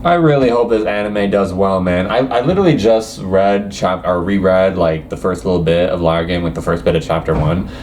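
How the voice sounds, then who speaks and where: A man's voice: low-pitched, a little fast, hardly any pauses, darkish tone, slight background noise. A young man casually reacting to anime news, reading quotes aloud and rambling with lots of hesitations.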